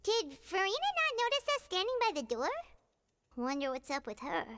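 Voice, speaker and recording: A woman voicing a small, childlike fairy companion in a video game, chatty and cutesy with a squeaky voice. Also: sing-song, fairly quick, noisy background, exaggerated voice. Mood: curious. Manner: cutesy, refers to self in third person. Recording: music playing behind game dialogue.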